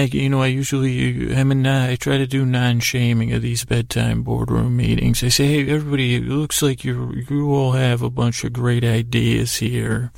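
A man with a heavily gravelly, creaky voice hosts a bedtime podcast. His pitch stays fairly flat as he meanders through an improvised monologue, doubling back and trailing off mid-phrase. The sound is close and dry, and he slips whimsically into bits of imagined dialogue. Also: dry recording. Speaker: podcast host.

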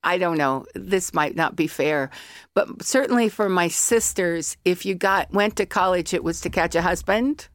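A woman's voice: on the low side, moderate pace, dry recording, clean studio sound. A middle-aged American woman from Colorado, an artist and academic, chatting in a podcast interview and drifting into opinionated tangents.

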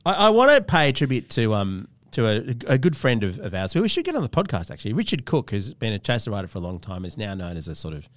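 A man's voice: lowish voice, wildly swooping pitch, fast, hardly any pauses, no room sound. A man hosting a comedy podcast, chatting casually and asking questions in a wry, teasing way. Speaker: podcast host. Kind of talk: casual comic interview.

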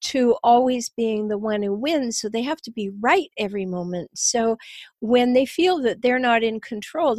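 Female podcast host, slightly high voice that is giving out, deliberate advisory delivery, dry recording. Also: darkish tone, dry recording. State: losing her voice, frequently clearing her throat. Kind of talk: reflective advisory talk to listeners.

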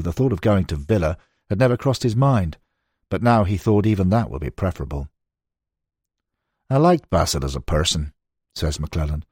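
Low-voiced man narrating, slightly husky. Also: darkish tone, no echo, studio quality. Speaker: narrator reading a book. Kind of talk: scripted narration of a football history.

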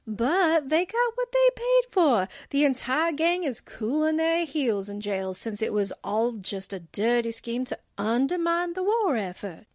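A woman's voice: sits high, sing-song, a little slow, hardly any pauses, dry recording, studio quality. An actress playing a perky, admiring young newspaperwoman sidekick, chipper and folksy, in 1940s radio drama style. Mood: earnest.

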